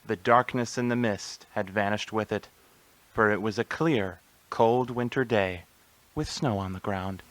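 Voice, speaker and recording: A man's voice: on the low side, a little slow, fairly bright tone, low-quality recording. A man reading scripted storybook narration as the narrator of a radio play.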